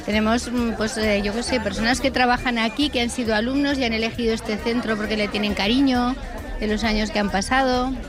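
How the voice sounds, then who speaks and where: A woman's voice: fairly high pitch, fairly even intonation, brisk, over music, crowd noise. A woman representing a school, answering interview questions in a hesitant, reflective way. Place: busy building during an event.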